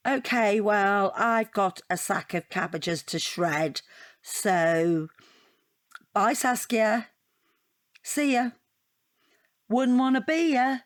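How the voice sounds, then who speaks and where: Rambling, self-justifying art student. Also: female voice, mid-range voice, animated, slow pace, pauses often, no echo, clean studio sound. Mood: flippant. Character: opinionated, defensive female adult-education art student, flippantly frank. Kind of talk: comic audio drama acting.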